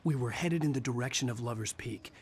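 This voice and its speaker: An actor playing a brooding writer who narrates his own nightmare in grave, measured first-person storytelling. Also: medium pitch, slightly rough. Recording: game music behind.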